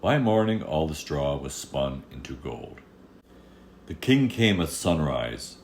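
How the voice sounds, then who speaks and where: Low-voiced man reading a fairy tale. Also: sing-song, slow, slight background noise. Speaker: storyteller. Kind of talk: read-aloud fairy-tale narration.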